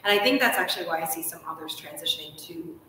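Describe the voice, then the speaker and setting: Low-voiced woman host in an echoey room. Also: animated, fast talker, sharp tone. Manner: enthusiastic, emphatic, uses "like" often. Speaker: host, interviewer. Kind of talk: live interview chat. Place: large hotel room, indoors. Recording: echoey room, distant mic, faint noise.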